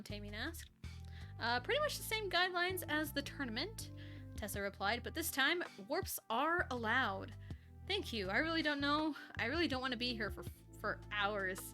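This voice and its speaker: A woman's voice: high voice, pushed and bright. A woman streamer reading fan fiction aloud in a casual way, given to breaking off for offhand reactions of her own. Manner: breaks off to react and giggle at the story. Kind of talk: casual live story reading with offhand asides.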